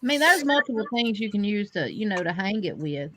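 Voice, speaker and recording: A woman's voice: medium pitch, big pitch swings, brisk, fairly bright tone, dry recording. A woman co-hosting a live crochet show, talking in a chatty, plainspoken way. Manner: chatty, plainspoken, full of fillers. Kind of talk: casual chat on a livestream.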